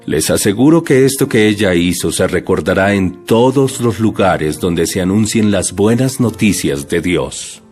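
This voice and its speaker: A man voicing a calm, solemn adult male teacher in an audio Bible drama, speaking slowly and gravely. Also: deep voice, faint music behind. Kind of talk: dramatized scripture reading.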